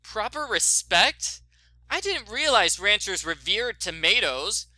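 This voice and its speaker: A man's voice: high voice, very animated, slow, sharp tone, dry recording, voice pushed up, exaggerated voice, rough recording. A man voicing an indignant, exasperated young female detective who complains sarcastically in a story reading.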